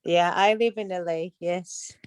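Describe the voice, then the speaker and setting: A woman in her fifties with an Israeli accent chatting on a video call, often pausing to search for words. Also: fairly low pitch, fairly even intonation, smooth, dry recording. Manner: warm listener, searching for words. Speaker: class participant. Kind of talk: casual chat on a video call.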